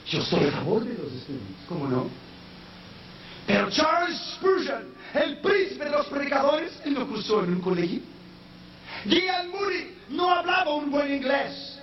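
High-voiced preacher, echoey and distant. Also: male voice, very animated, moderate pace. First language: not Spanish. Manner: preaching that swells into shouted, sung-out peaks; slips English phrases into his Spanish. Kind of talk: sermon. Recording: echoey, distant, dull sound with faint noise.

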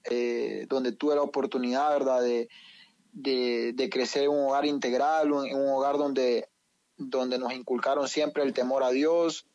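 A man's voice: medium pitch, a little mellow, dry recording, dull audio. A young man from Honduras with a Honduran accent, a guest on the show, speaking hesitantly with many fillers and restarts. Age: young adult. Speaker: guest, professional footballer.

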